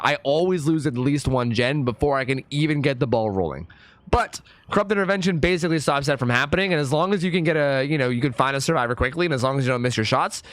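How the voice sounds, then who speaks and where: A young man with a hoarse, partly lost voice, chatting casually as a gaming YouTuber explaining his build. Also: on the high side, a bit bright, no room sound. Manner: chatty, fast and filler-heavy. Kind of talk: casual gameplay commentary.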